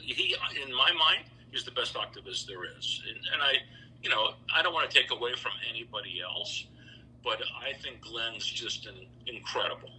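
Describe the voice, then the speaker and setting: A man's voice: medium pitch, expressive, moderate pace, bright, forceful tone, slight background noise, rough recording. A man answering interview questions conversationally as a singer guest, emphatic and repeating himself for stress.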